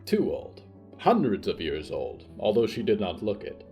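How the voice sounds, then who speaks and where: A man's voice: fairly low pitch, wildly swooping pitch, warm tone, faint music behind. Theatrical male storyteller with swooping intonation. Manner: deliberate, literary, theatrical. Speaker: narrator of a fiction podcast. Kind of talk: scripted dramatic story narration.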